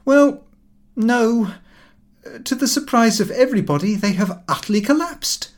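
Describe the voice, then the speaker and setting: Man playing a brash, high-pitched young son. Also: lively intonation, a little slow. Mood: agitated. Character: brash, excitable young son, flippant and irritable. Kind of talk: audiobook character voice.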